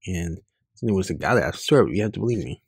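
Male comic reader, informal and casual, gravelly and husky delivery, recorded dry and close. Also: deep voice, expressive, fast talker, a little mellow, no echo. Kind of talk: reading webcomic dialogue aloud with casual reactions.

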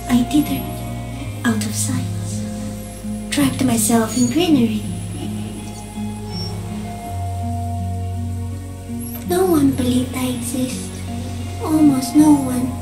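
A woman voicing an ancient, gentle, alien stick insect in a video game, speaking solemnly in measured, poetic, halting phrases. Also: high voice. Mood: solemn wonder. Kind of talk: dramatic video game dialogue. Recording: echoing voice over game music. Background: music.

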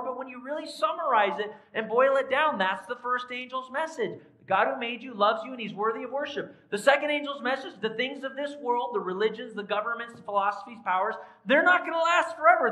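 Energetic preacher man, bright voice. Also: very high-pitched, poor audio. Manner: animated, pacing the stage with sweeping gestures; swings from soft asides to loud emphatic declarations. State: tired after a recent cold. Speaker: pastor. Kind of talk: sermon. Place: large church sanctuary, indoors.

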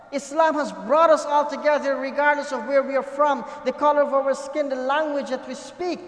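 A middle-aged man preaches an Eid sermon in a very high voice, his words carried on a lectern microphone. He speaks with passion, repeats his phrases and climbs to near-shouts. The small mosque hall rings around him with a long, roomy echo. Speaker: imam. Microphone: gooseneck mic on a lectern. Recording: echoey hall, long reverb.

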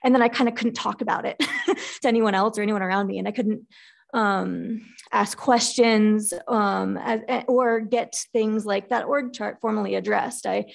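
A woman panelist speaks earnestly about working as an engineer, her voice sitting at a middle pitch with a gravelly, fry-tinged texture. She talks in a candid, unscripted way, leaning on fillers like "um" and "uh.". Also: fairly even intonation, moderate pace. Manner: earnest, frequent fillers, light laughs.

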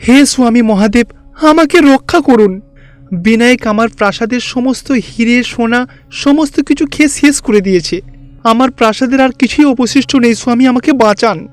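A man's voice: very high-pitched, moderate pace, faint music behind, voice pushed up. A man voicing a frightened, humbled rich god-king in a cartoon fairy tale, pleading and flustered. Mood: panicked.

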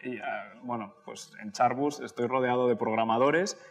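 A man in his thirties from Barcelona, a tech executive answering interview questions in a relaxed, thoughtful way with many restarts and easy laughs. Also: medium pitch, moderate pace. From Barcelona, Spain. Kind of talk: casual conversational interview. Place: indoor office lounge.

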